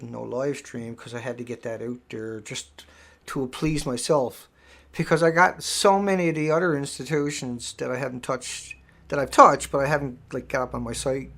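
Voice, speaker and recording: A man's voice: medium pitch, creaky, a bit bright. A middle-aged man hosting a live stream, talking casually and emphatically, rambling and repeating himself.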